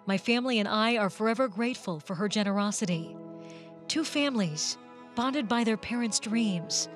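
A woman reporter narrating a scripted local television news story in a measured, polished way. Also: mid-range voice, soft background music.

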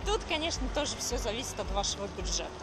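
A woman's voice: medium pitch, big pitch swings, fast. A young woman chatting informatively and casually while walking outdoors along city streets. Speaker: language school representative. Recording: noisy outdoor street sound. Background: street ambience.

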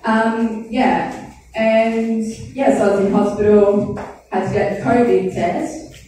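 A woman in her thirties rambles through a crude, self-deprecating stand-up set on a handheld mic, often laughing at her own lines. Her voice is mellow and dark-toned, caught from the audience in a very echoey, distant small club with faint room ambience. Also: fairly high pitch, fairly even intonation, takes it slowly, slight background noise. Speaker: stand-up comedian. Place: small comedy club stage. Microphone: handheld stage mic, filmed from the audience.

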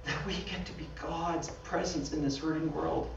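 A man's voice: on the high side, fairly even intonation, normal speed, gravelly, slight background noise. A man with a Canadian accent, a pastor giving a conversational sermon with slides, laughing at himself often. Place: small church hall. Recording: dull, roomy sound from far off.

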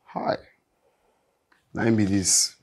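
Blustering Nigerian kidnapper. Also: male voice, fairly low pitch, fairly even intonation, slow pace, vocal fry, heavy background noise. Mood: irritated, subdued. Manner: blustering, repetitive, scolding. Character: volatile male kidnapper, a frustrated, boastful aspiring musician. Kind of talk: film acting, speaking Nigerian Pidgin.

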